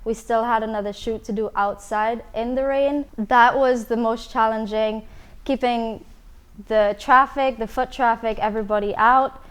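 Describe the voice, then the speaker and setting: Female producer from Trinidad and Tobago; flat, even intonation, clean smooth tone. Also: fairly high pitch, moderate pace. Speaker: film producer. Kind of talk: casual interview talk.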